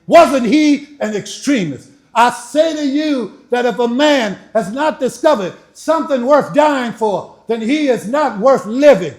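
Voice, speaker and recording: An older man giving a speech to a live audience, first a dramatic reading and then a relaxed chat, laughing. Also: unhurried, hardly any pauses. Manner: booming oratory with rising emphasis; chatty, laughing, folksy asides. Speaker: speaker and author. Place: library event hall. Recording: echoey room.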